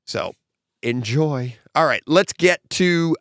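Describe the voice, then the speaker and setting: A middle-aged man hosting a casual home theater podcast with his son. He tells stories animatedly and acts out quotes, and his voice is slightly hoarse. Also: fairly high pitch, lively intonation, slow, fairly bright tone, no echo, studio quality. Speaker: podcast host.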